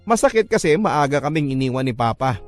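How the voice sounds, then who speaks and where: A man's voice: medium pitch, sing-song, brisk. Casting: male Filipino narrator, mid-range voice, scripted sentimental storytelling over music. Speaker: radio love story narrator. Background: background music.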